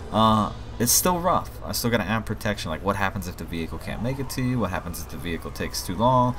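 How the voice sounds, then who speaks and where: A man giving a casual, rambling gameplay walkthrough as he demonstrates his own game mod. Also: on the low side. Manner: informal, rambling explanations. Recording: game music and vehicle sirens behind voice. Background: game music, vehicles, police sirens.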